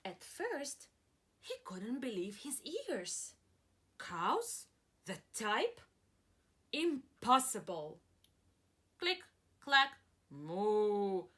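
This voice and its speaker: Bright, very lively woman reading to young children. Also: medium pitch. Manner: slow, deliberate and dramatic, stretching words, pausing to ask questions; encouraging, praising and addressing listeners as friends. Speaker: preschool teacher reading aloud. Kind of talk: interactive picture-book read-aloud for young children.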